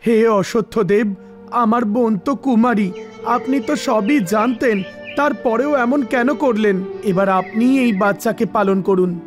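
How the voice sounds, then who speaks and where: A man's voice: sits high, steady tone, a little slow. A man playing a worried, protective village brother in a cartoon story, earnest and imploring. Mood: anguished, pleading. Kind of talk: dramatized Bengali cartoon storytelling. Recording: background music behind voice.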